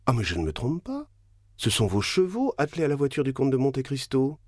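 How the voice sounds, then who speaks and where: A man voicing an excited young gentleman in a read-aloud novel. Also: mid-range voice, fairly quick, no echo, clean studio sound, pitched above the performer's own voice. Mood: surprised. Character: surprised young gentleman at a window.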